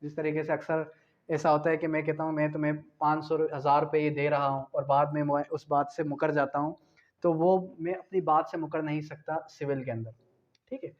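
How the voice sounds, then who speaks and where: A man's voice: a little high, little pitch movement, a little fast. A man with a Pakistani accent giving an explanatory law lecture, didactic, posing questions and answering them himself, mixing in English terms. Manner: switches between Urdu and English terms. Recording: dull lo-fi lecture audio.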